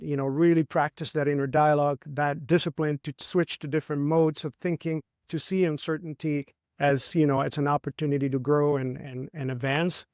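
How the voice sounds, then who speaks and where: A man's voice: fairly high pitch, a little mellow, dry recording, studio quality. A middle-aged man from Chile, a martial artist and trainer, explaining ideas in a reflective way and often asking "right?". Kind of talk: conversational interview.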